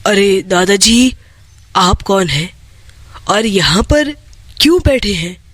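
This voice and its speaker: An honest cartoon woodcutter, earnest and respectful, acted out by a storyteller. He speaks in a lowered, bright voice at a measured pace, recorded dry. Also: animated, no room sound. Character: honest, hardworking woodcutter Mohan, sensible and respectful.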